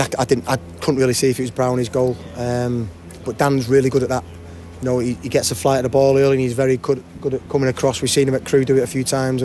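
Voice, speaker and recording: A man in his forties, a football manager, giving a post-match interview at a stadium with a handheld mic. He talks fast and often restarts and repeats words. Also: mid-range voice, warm tone, a little hiss or hum. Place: outdoors by a cafe banner at a stadium.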